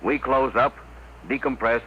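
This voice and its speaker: An actor playing a practical, authoritative spaceship leader who gives brisk orders in a 1950s radio drama. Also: male voice, medium pitch, lightly acted. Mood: firm, instructive. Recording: dull, faintly noisy old radio recording.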